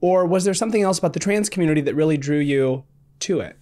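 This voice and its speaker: Higher-voiced male podcast host. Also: quick pace, darkish tone. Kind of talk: scripted intro and interview questions.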